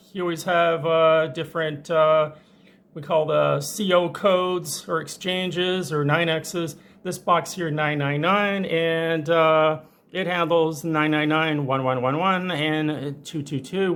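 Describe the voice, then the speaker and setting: A man's voice: on the high side, steady tone, measured pace, a bit bright. A man presenting a casual hands-on tech tutorial in an informal, enthusiastic way.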